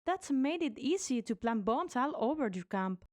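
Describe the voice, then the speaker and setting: A woman's voice: sits high, very animated, moderate pace, no room sound, studio quality. A woman voicing a cocky, taunting young female demon mercenary with a sarcastic, playful, mock-polite delivery in an amateur game fan dub. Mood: mocking, gleeful.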